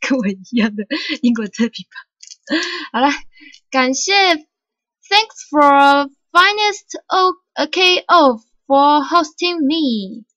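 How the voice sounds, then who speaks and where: A woman streamer from Taiwan, chatting playfully and halting when speaking English. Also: high voice, lively intonation, pushed and bright, no echo, muffled recording. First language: Mandarin. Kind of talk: live game stream chat.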